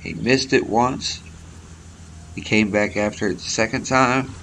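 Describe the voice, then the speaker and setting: A low-voiced man talks his viewers through his fishing from a kayak on a pond, relaxed and matter-of-fact. He is recorded outdoors on an action camera with a faint wash of open-air noise behind him. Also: measured pace. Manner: relaxed play-by-play, talking to viewers. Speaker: fishing vlogger. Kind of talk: casual fishing commentary.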